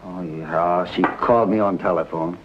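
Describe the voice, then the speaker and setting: Male actor as tired night watchman uncle, deep voice, terse, indifferent, 1950s TV drama, muffled noisy sound. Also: fairly even intonation, moderate pace, natural voice. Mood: weary. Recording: muffled vintage soundtrack with noise.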